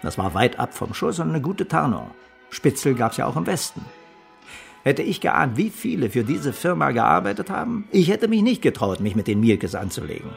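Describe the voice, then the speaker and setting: Radio drama: blunt, forgetful middle-aged man, wry and swinging in pitch, gravelly edge. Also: sing-song, normal speed, soft background music. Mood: wry, reminiscing. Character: gruff, sardonic, forgetful former escape helper. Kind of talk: naturalistic radio play acting.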